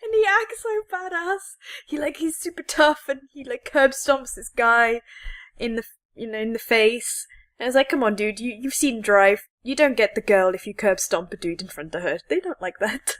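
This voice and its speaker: A woman with a fairly high voice chats informally as a podcast co-host. She is sarcastic and likes to slip into mock dialogue to make her point. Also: normal speed, fairly bright tone. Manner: sarcastic, acts out imagined dialogue. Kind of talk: casual geek chat, movie review.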